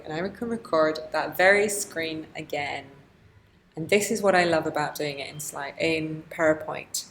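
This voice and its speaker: A woman teacher giving an informal, chatty software walkthrough, thinking aloud as she demonstrates each step. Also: deep voice. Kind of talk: informal instructional screen-recording walkthrough.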